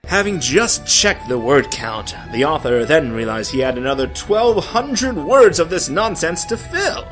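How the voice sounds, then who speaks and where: A man's voice: on the high side, very animated, unhurried, hardly any pauses, fairly bright tone, faint music behind. A man giving a dramatic, comedic story reading in a theatrical, sardonic manner with exasperated asides. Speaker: narrator of a story.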